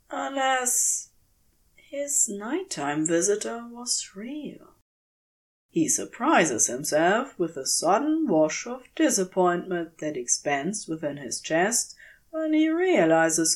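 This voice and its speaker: A woman narrating a scripted story as an audiobook reader, expressive and deliberate, with dramatic pauses. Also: fairly high pitch. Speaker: audiobook reader of fan fiction.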